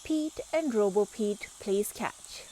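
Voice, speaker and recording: A woman's voice: medium pitch, expressive, takes it slowly, mellow, slight background noise, poor audio. A woman reading a children's storybook aloud as the narrator. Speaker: storybook reader.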